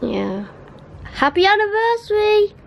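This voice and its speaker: A woman's voice: very high-pitched, very animated, a little slow, creaky, a little hiss or hum, rough recording. A woman, chatting in a rambling, giggly way while vlogging from a bedroom at night. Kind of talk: casual chat. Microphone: handheld camera.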